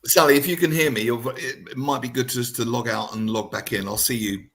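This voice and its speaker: Husky-voiced man hosting a chat show. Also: mid-range voice, steady tone, a little fast, a bit bright. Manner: chatty, self-deprecating storyteller. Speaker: radio show host. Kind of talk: casual chat.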